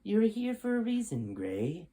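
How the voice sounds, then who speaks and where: A man's voice: high-pitched, wildly swooping pitch, a little slow, mellow, pitched above the performer's own voice, full character voice. A man voicing a cold, smug military general in a storybook, with a condescending, commanding manner. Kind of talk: storybook character dialogue.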